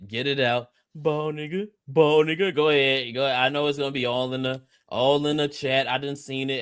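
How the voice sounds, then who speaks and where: A thirty-year-old American man chatting on a livestream. His voice is medium-pitched and recorded dry and close. He talks in a boisterous, slangy way and likes to repeat his phrases for emphasis. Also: fairly bright tone, clear, resonant tone, no echo. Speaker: livestream co-host. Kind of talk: casual joking livestream chat.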